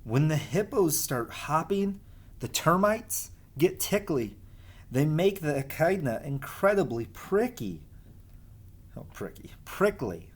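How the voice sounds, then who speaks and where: A man's voice: fairly high pitch, big pitch swings, takes it slowly, breathy edge. A man speaking as a teacher in a casual read-aloud lesson for children, informal and explanatory. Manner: informal, explains and asks listeners questions. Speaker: teacher reading aloud to pupils.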